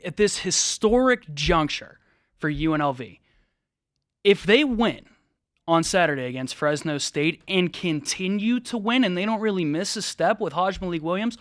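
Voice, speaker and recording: A man co-hosting a sports talk show in a studio, breaking down plays in a measured, analytical way. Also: high-pitched, expressive, fairly bright tone, no echo.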